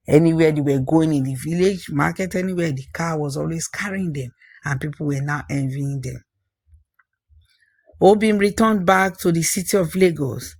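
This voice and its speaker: A storyteller narrating a scripted folktale, phrasing things deliberately and repeating for emphasis. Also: medium pitch, vocal fry, breathy edge, no echo.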